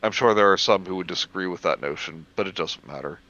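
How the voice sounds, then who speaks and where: A man reading a first-person horror story aloud in measured narration, stumbling over the occasional word. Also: sits low, sharp tone, lo-fi sound.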